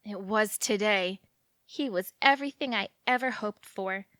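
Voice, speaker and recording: A woman's voice: mid-range voice, unhurried, a bit bright, dry recording, natural voice. Actress as young small-town romantic woman, dreamy, earnest, talkative, close dry sound, audio drama dialogue. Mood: excited, romantic.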